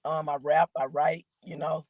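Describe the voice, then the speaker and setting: A woman from Georgia with a raspy voice, a guest rapper rambling casually with lots of fillers. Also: low voice, little pitch movement, normal speed, background noise. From Augusta, Georgia, raised in Atlanta. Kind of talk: casual interview chat.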